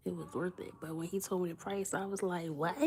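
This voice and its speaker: A young woman live-streaming indoors in someone else's house, chatting casually with her viewers in a hushed, low voice that often drops to a whisper, and acting out the voices in her stories. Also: fairly quick, a little hiss or hum. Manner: animated storytelling, acting out dialogue.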